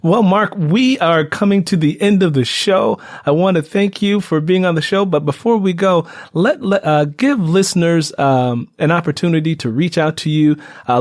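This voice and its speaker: A man's voice: a little high, a little mellow, no room sound, studio quality. A man hosting a radio-style interview show in a conversational way.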